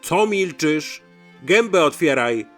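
An angry lord from a folk tale, acted out by a male storyteller. He barks commands imperiously in a raised, very animated voice, like a ruler used to being obeyed. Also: on the high side, slow pace, faint music behind. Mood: demanding, angry. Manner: imperious, barking orders, shouting. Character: angry, commanding moustached old-Polish nobleman governor.

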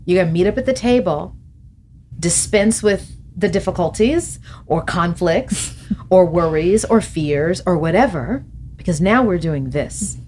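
A woman's voice: fairly low pitch, a little slow, a little hiss or hum. A middle-aged woman, a podcast guest and author, talking reflectively in a studio interview, gesturing as she speaks and laughing often. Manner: thoughtful, animated hand gestures, laughs often. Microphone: studio mic on boom arm.

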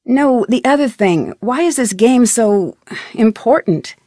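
A woman's voice: a little high, animated, measured pace, warm tone, no room sound, clean studio sound. A young American woman voicing an inquisitive, polite and determined amateur detective in an adventure video game, with an earnest, questioning delivery. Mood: probing, determined. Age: young adult. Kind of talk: scripted adventure game voice acting.

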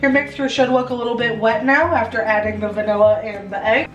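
A woman's voice: on the high side, fairly even intonation, brisk, muffled recording, music in the background. A young adult American woman talking through a recipe in a chatty, step-by-step home tutorial style. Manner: chatty, instructive. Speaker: home baking vlogger. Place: home kitchen, indoors. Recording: roomy kitchen echo.

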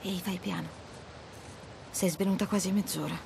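A woman's voice: fairly low pitch, steady tone, normal speed, creaky, noisy background. A woman playing a tough, distrustful mercenary in dramatic video game dialogue, terse and blunt. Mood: concerned.

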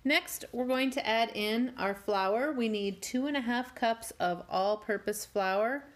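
A woman hosting a home cooking channel, walking through a cake recipe step by step with gently wry asides. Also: a little high, a little slow. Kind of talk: calm step-by-step baking instruction. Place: home kitchen.

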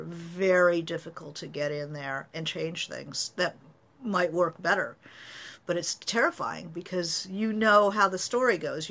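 A woman's voice: fairly low pitch, fairly bright tone. A middle-aged woman, a novelist, talking casually on a live stream from her home study, thoughtful with many fillers. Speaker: novelist answering writing questions.

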